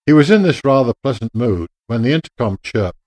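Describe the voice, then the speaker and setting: A man narrating a radio drama in a measured, literary storytelling manner. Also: mid-range voice, expressive, darkish tone, no echo.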